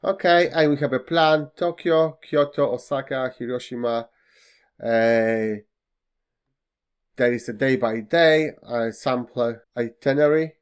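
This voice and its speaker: Slow, hesitant man demoing software. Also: mid-range voice, clear, resonant tone. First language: Polish. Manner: hesitant, many fillers, non-native phrasing. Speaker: tech tutorial host. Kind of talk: screen-recorded software walkthrough.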